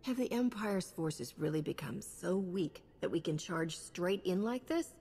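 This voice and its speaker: An actress playing a cool, confident sci-fi hero in a video game, with a dry, self-assured delivery. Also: female voice, fairly low pitch, lively intonation, measured pace, darkish tone, big-room sound. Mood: questioning. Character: cool, confident female space hero, dryly wry. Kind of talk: video game cutscene acting.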